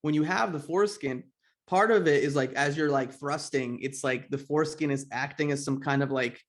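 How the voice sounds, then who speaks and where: A man's voice: a little high, fairly quick. A man in his forties hosting a podcast interview, talking candidly and rambling with lots of fillers and restarts. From United States. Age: 40s. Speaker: podcast host.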